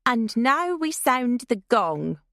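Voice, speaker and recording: A woman's voice: a little high, big pitch swings, slow, fairly bright tone, no echo, clean studio sound, voice pushed up, heavily acted. A woman voicing a bossy, theatrical teenage girl who leads a prank. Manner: commanding, theatrical.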